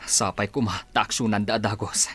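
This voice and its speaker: A man playing a steady, caring young man in a radio drama. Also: on the low side, normal speed, faint music behind. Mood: hopeful, resolved.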